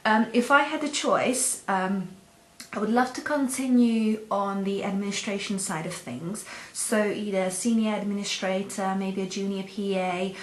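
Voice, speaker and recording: A woman's voice: mid-range voice, steady tone, measured pace. Hesitant woman, echoey room. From Hungary. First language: Hungarian. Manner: hesitant, many ums and uhs. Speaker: job seeker. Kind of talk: self-introduction video to employers. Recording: echoey room, faint background hum.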